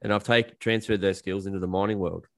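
A man's voice: low-pitched, steady tone, a little fast, gravelly, no echo, clean studio sound. An Australian man chatting casually as an interview guest, explaining things in a blunt, jokey, colloquial way. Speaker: podcast guest, coal miner. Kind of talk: casual chat, explaining his work.

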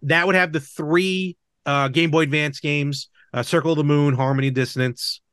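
Slightly higher-voiced man hosting a casual podcast. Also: fairly even intonation, moderate pace, fairly bright tone, clear, resonant tone, no room sound, studio quality. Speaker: podcast host. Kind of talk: casual chat about games and film.

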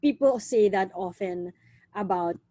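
Young Filipino woman telling stories in a mellow voice over a dry close mic, lively and chatty. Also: mid-range voice, a little slow, no echo. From Philippines. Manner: lively, frequent "you know", mixes in Tagalog words. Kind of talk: casual conversation.

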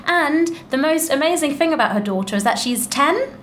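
A woman's voice: high voice, expressive, moderate pace, a bit bright. A woman hosting a podcast interview in a chatty, informal, effusive way. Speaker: podcast host. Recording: clearly noisy background.

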